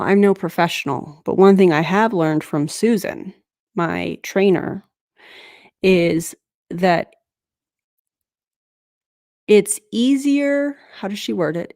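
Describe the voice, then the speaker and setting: A woman's voice: mid-range voice, darkish tone, no room sound, clean studio sound. A woman hosting a casual commentary livestream, reacting to a clip in a blunt, skeptical and sometimes sarcastic way.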